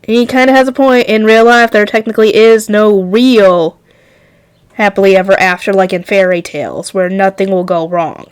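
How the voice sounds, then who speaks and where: A woman's voice: mid-range voice, fairly even intonation, moderate pace, clear, resonant tone. A woman hosting a reaction video, giving casual off-the-cuff commentary.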